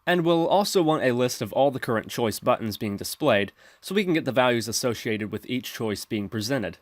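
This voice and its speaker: A man narrating a software tutorial in an instructional, step-by-step manner. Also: medium pitch, expressive, fairly quick, no echo. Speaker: tutorial presenter.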